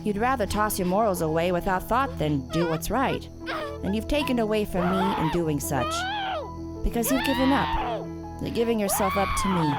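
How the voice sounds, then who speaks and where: A woman acting as a condescending, self-righteous female killer in an audio drama, addressing her captive with theatrical menace. Her mid-pitched voice swings dramatically up and down, with music clearly playing underneath. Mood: angry. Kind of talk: audio drama villain monologue.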